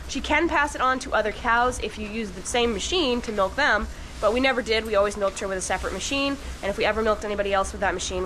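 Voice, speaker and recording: A woman who works on a dairy farm, talking casually to viewers with a stuffy, congested voice from a cold. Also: mid-range voice. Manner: chatty, talks straight to viewers. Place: dairy barn, with calves and cows. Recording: noisy barn recording.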